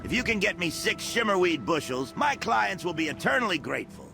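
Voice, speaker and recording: High, creaky, excitable game merchant. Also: male voice, fairly quick, music in the background, exaggerated voice. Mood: wheedling. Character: shady excitable orc herb dealer needing help. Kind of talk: video game character dialogue.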